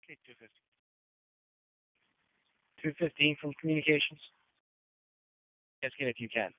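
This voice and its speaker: A man's voice: on the high side, normal speed, no echo. A man working as a dispatcher, answering units briefly over two-way radio. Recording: tinny narrowband radio transmission.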